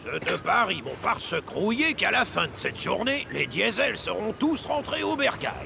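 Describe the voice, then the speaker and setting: A man's voice: high-pitched, very animated, moderate pace, creaky, noisy background, full character voice. A man voicing a jolly old dockside diesel engine who talks like a sailor, hearty and reassuring, in a children's cartoon dub. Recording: music behind the voice.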